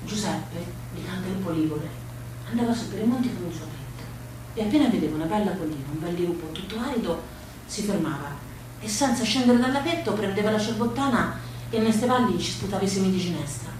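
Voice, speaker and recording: A woman's voice: medium pitch, lively intonation, fast. A woman telling stories as a theatrical monologue from the stage. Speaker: stage storyteller. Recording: echoey room with faint background noise.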